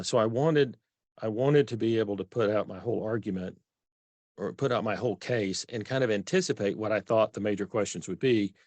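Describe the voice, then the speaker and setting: Low-voiced Texan man, earnest. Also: darkish tone, no room sound. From Texas. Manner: earnest, self-correcting restarts. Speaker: author guest. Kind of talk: conversational interview.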